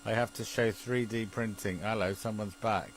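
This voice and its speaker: A man's voice: on the low side, steady tone, moderate pace, a little hiss or hum. A British man in a rambling, chuckly casual chat, often muttering to himself as he operates an amateur television link.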